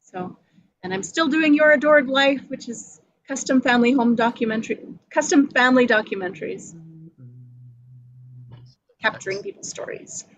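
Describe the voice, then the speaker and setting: A woman's voice: sits high, lively intonation, normal speed, long pauses. A woman chatting casually, scattered and trailing off as she goes. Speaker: filmmaker and show helper. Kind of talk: casual chat. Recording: dry, dull lo-fi audio.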